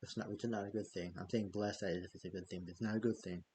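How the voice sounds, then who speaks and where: A man's voice: on the low side, steady tone, fast talker, mellow, a little hiss or hum. A man hosting a football fan vlog, chatting loosely with frequent fillers and self-corrections. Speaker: football fan channel host. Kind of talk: casual news vlog.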